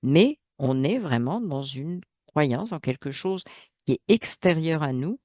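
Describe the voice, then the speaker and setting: Man talking slowly with many pauses. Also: on the high side, animated, darkish tone, no room sound. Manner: hesitant, many fillers and restarts, emphatic asides. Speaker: channel host. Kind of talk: solo spoken monologue.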